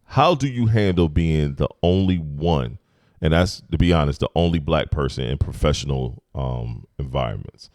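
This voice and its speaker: A man in his thirties with a deep voice, hosting a podcast in relaxed, agreeable casual chat. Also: normal speed, no echo, studio quality. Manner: relaxed, agreeable, frequent "mm-hmm" and "right". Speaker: podcast host.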